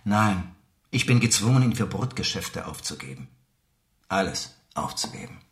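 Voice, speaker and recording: A man's voice: deep voice, big pitch swings, moderate pace. An actor playing a gloomy, blocked male novelist who speaks with weary resignation in a radio play. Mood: gloomy, resigned. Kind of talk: radio drama acting. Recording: echoing room, slightly distant.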